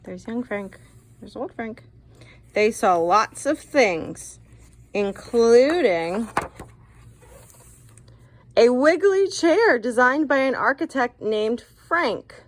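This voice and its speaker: A woman reading a picture book to children in an expressive, guiding way, adding comments and questions as a teacher would. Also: on the high side, slow pace, long pauses. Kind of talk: read-aloud for children with commentary asides.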